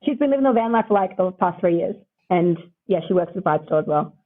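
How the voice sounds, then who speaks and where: A woman with a croaky voice, chatting upbeat as a podcast guest and laughing often between answers. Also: fairly low pitch, animated, fast. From Australia. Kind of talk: casual interview chat. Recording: tinny phone-like line.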